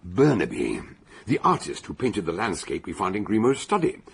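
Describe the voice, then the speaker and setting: Shrewd, eccentric sleuth for radio mystery; very husky, gravelly, wryly ruminative. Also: male voice, sits low, big pitch swings, full character voice. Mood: wry, probing. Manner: ruminative, wry, halting. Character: eccentric, ponderous male amateur detective doctor, shrewd and curious. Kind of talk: British radio detective drama acting.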